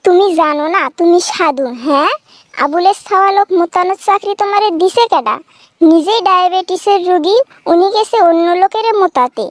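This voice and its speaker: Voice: very high-pitched, fairly quick. A performer voicing a fierce, sarcastic village wife in a comic cartoon, taunting sharply in a rural Bangladeshi Bengali accent. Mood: sarcastic, accusing. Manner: taunting, sharp-tongued, threatening. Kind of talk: comic cartoon dialogue, exaggerated bickering.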